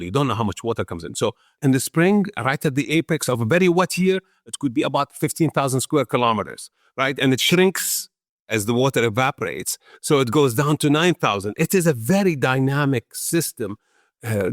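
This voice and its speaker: A man from Iraq speaks as an expert being interviewed. His voice sits at an average pitch in a dry recording. He explains in a conversational way, repeating words for emphasis. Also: lively intonation, normal speed, no room sound. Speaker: guest expert, conservation organization founder.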